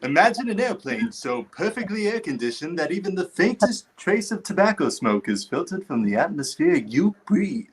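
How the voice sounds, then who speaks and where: Man acting a high-pitched, swooping vintage airline pitchman. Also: very animated, moderate pace, few pauses, slightly rough, low-quality recording. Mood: gushing, persuasive. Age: young adult. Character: gushing, polished male airline pitchman in a vintage commercial. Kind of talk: 1930s-style radio advertisement read.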